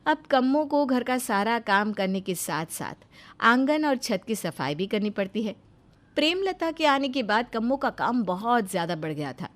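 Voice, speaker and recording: High, lively woman storyteller. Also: moderate pace. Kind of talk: scripted narration of a moral story.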